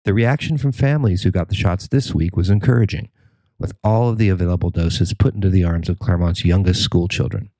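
Man, American accent, low mellow voice, scripted news narration, dry close sound. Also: a little fast, no echo, rough recording.

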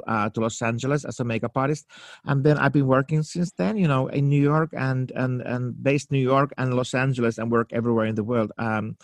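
A man's voice: mid-range voice, no echo. A man in his fifties from Finland with a Finnish accent, speaking English as a second language in a casual interview, searching for words with many fillers and restarts. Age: 50s. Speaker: makeup artist guest.

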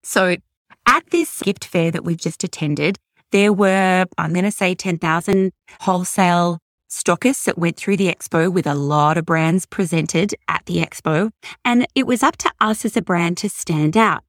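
A middle-aged woman hosting a podcast, upbeat, affectionate and a bit salesy, with a voice gone husky from overuse. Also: fairly low pitch, unhurried, dry recording, studio quality. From Australia. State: hoarse from overuse. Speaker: podcast host. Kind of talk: podcast monologue.

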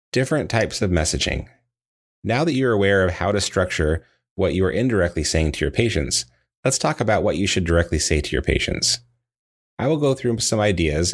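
A man narrating a scripted training lesson in a measured, explanatory way, as if reading from prepared text. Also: deep voice. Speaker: dental practice trainer.

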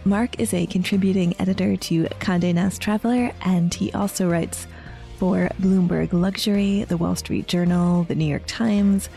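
An American woman hosting a podcast, introducing and interviewing a guest in a friendly, measured way. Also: on the low side, fairly even intonation, creaky, mellow, soft background music. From United States. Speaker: podcast host.

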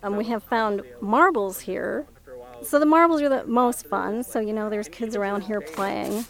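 A woman's voice: mid-range voice, moderate pace, creaky, low-quality recording. A middle-aged or older woman from Minnesota, an archaeological surveyor explaining things informally in an interview on site.

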